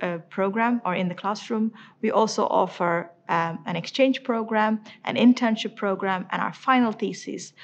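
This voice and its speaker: A woman lecturer introduces a university business program. Her voice sits at a middle pitch and her delivery is steady and measured, with frequent hesitation sounds between points. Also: highs cut off. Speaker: senior lecturer. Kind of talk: program presentation.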